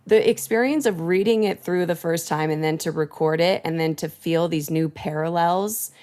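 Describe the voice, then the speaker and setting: A bubbly woman guest chats on a podcast, her voice sitting a bit low. She is talkative and quick to laugh, and her talk runs loose and friendly. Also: darkish tone. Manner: chatty, bubbly, laughs often. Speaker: voice actor guest. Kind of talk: casual podcast chat.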